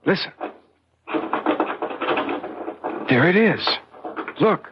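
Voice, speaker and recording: A man acting an earnest, excitable newspaper reporter in a 1970s radio drama, with a light, insistent delivery on an old broadcast recording. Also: sits high, a little slow, muffled recording, background noise. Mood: hushed, searching. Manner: eager, insistent.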